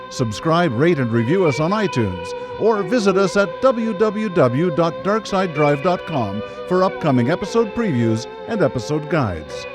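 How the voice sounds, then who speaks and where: A man announcing scripted credits for a radio drama. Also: on the high side. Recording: prominent music under the voice.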